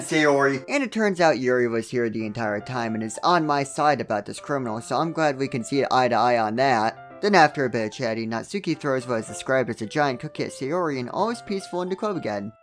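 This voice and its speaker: A man narrates a comedic game review in a mid-pitched voice. He tells the story in a scripted way and drops in dry, sarcastic asides. Music plays along behind him.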